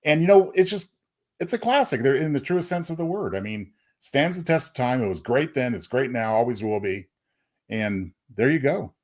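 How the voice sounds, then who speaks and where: A man's voice: medium pitch, expressive, moderate pace, a little mellow. A man co-hosting a podcast, giving casual review commentary. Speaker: podcast co-host.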